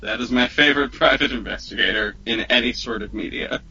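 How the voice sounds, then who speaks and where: A man telling a personal story as a podcast guest in a casual, animated, profane, slangy way. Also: mid-range voice, a little fast, breathy edge, dull audio.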